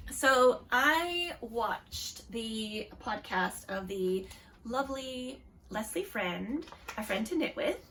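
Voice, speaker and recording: Woman host, rambling casual chat, bright with a bit of fry, a little off-mic. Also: mid-range voice, far from the mic, slight background noise. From Canada. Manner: chatty, rambling with fillers and self-deprecating asides. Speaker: podcast host.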